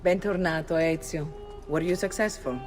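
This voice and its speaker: An actress playing a composed courtesan mentor who gives measured advice. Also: female voice, low voice, music in the background, close to an everyday voice. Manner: calm, measured, advising. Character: composed female courtesan mentor, worldly and calm.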